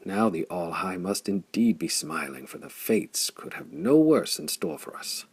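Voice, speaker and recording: Man acting a defiant swordsman, low gravelly rasp, scornful, mirthless, pulp adventure storybook hero. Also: animated, measured pace, a little mellow, no echo, full character voice. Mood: grim. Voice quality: rasping. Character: defiant, grim swordsman hero, rasping and bitter-humored. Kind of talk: pulp adventure audiobook dialogue.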